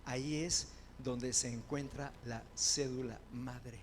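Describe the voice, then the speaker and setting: A man preaching a sermon as pastor, building to loud fervent proclamations and dropping into quick conversational asides. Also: mid-range voice, steady tone, slight background noise.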